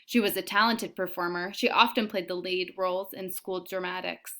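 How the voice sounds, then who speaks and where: A woman's voice: on the low side, steady tone, normal speed, fairly bright tone. A woman teacher talking to pupils and narrating a children's book aloud. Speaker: elementary teacher reading aloud.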